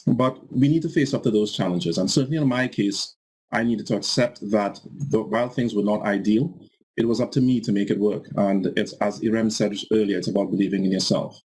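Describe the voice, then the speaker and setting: A man speaking as a panelist, measured and a little halting, with fillers between his points. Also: on the low side, darkish tone, slightly rough, rough recording. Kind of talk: panel discussion.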